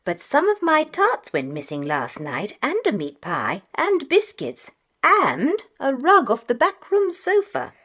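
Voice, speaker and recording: A woman's voice: sits high, huge pitch swings, a little slow, fairly bright tone, exaggerated voice. An actress playing a kindly, fretful older lady's companion in a children's audio drama, earnest and emphatic. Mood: troubled, earnest.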